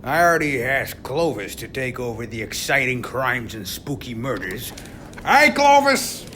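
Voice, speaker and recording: Radio-play boss, male actor: callous, brisk, bright punchy delivery over a faint rumble. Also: sing-song. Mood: callous, jovial. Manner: brisk, blustering, offhand. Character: brash, callous newspaper boss, a blustering man. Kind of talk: old-time radio play acting.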